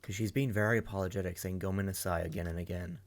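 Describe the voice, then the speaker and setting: Young guy reacting to anime, lowish voice, chatty, gets loud and hyped fast. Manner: excitable, chatty, bursts of laughter and loud outbursts. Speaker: reaction video host. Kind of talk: casual reaction commentary.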